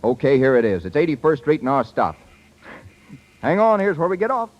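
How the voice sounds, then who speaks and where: A man's voice: a little high, sing-song, a little fast, highs cut off. An actor playing a brash, slangy young office man who is tongue-tied about love, 1930s radio style. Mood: awkward, resigned. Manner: slangy, casual. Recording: noisy 1930s radio recording.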